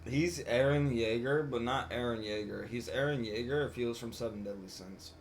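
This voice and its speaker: A man's voice: mid-range voice, moderate pace, slight background noise. A man streaming a game with casual, joking, mocking commentary full of slang. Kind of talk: casual live gameplay commentary.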